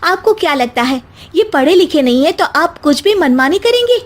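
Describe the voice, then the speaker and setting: A woman's voice: extremely high pitch, big pitch swings, normal speed, voice pushed up. A woman voicing a spirited, educated young daughter-in-law from the city, earnest and outspoken, in a story. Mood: indignant.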